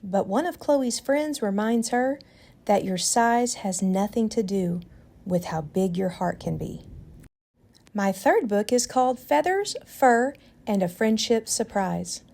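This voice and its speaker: A woman hosting a storytime, reading aloud to children in a deliberate, expressive way. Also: mid-range voice, vocal fry. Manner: deliberate, animated.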